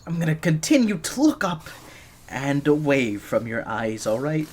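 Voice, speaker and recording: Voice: mid-range voice, slight background noise, close to an everyday voice. A performer voicing a nervous, flustered young gadget engineer in an ASMR roleplay, stammering shyly and breaking off mid-phrase.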